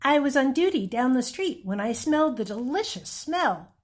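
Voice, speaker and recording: A woman voicing a friendly, hungry female police officer in a read-aloud picture book, with a bright, lilting delivery. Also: sits high, sing-song, moderate pace, pitched above the performer's own voice. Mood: delighted.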